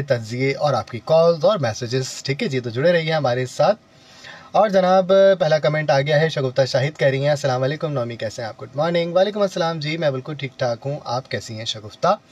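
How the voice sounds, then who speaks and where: A man's voice: on the high side, fairly quick. A man with a Pakistani accent hosting a live morning call-in radio show in a chatty, courteous manner. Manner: chatty, courteous, repeats advice. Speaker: radio host.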